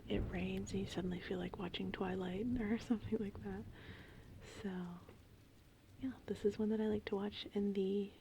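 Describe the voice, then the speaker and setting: A woman whispering in a casual, meandering, unhurried ASMR ramble, with a breathy, creaky voice. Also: medium pitch, noisy background. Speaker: ASMR host. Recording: steady rain and thunderstorm ambience. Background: gentle rain and thunder.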